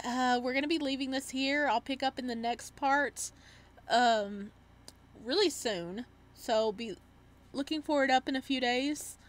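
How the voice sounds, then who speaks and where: A woman giving casual let's-play commentary and reading game text aloud, with an excitable, reactive manner. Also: sits high. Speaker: gaming streamer.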